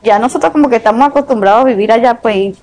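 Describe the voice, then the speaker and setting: Fast-talking coastal Venezuelan woman. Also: fairly high pitch, darkish tone. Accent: Venezuelan, coastal La Guaira. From La Guaira, Venezuela. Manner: colloquial, repetitive. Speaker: interviewee, disaster survivor. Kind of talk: spontaneous interview answer.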